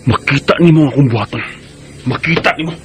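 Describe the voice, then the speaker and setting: A man's voice: mid-range voice, quick pace, noisy background, close to an everyday voice. A man with a Cebuano Bisaya accent playing a lovesick married friend, insistent and sulky, in a radio drama. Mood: hurt, sulky.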